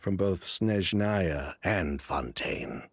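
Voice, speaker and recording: Weary defensive crime boss from a video game, really animated pitch, takes his time. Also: drawn-out words, full character voice. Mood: somber. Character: proud, defensive male criminal mastermind, weary and bitter.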